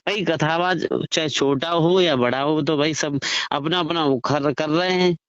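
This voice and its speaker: A man's voice: on the high side, measured pace, a bit bright, clean tone, no echo, lo-fi sound. A man explains his disapproval in an informal phone conversation, often asking rhetorical questions. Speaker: religious storyteller-performer giving his opinion. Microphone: recorded phone call.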